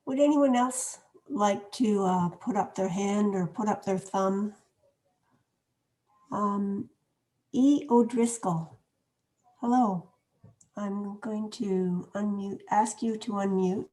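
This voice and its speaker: Slow-spoken woman hosting a video call. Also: medium pitch, mellow. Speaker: meeting host. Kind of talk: hosting an online meeting.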